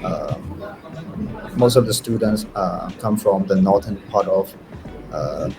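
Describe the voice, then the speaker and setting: Slow, halting young man from Myanmar. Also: low voice, background noise. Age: young adult. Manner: halting, searching for words with many ums. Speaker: first-year student.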